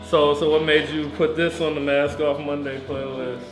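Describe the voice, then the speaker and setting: A man's voice: a little high, level tone, moderate pace. A laid-back man hosting a casual chat, laughing easily with his guests. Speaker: host. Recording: echoey room with music.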